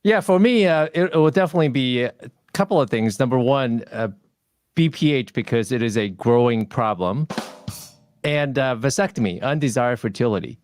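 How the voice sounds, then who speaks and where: Halting man from Taiwan, dry recording. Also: medium pitch, expressive, darkish tone, dry recording. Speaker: urology clinic panelist. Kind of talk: casual panel chat.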